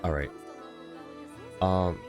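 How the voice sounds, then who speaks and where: Male game streamer, very low voice, casual and conversational, faint music underneath. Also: fairly even intonation, drawn-out words, darkish tone. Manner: conversational, reading chat comments aloud. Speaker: game livestreamer. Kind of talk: casual livestream chat, answering viewers.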